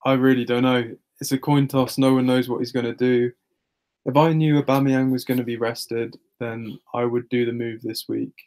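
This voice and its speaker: A man of medium pitch speaks with a flat, even intonation. He is a podcast co-host chatting casually about football, matter-of-fact and analytical in how he lays out his points. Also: normal speed. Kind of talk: casual football fantasy league discussion.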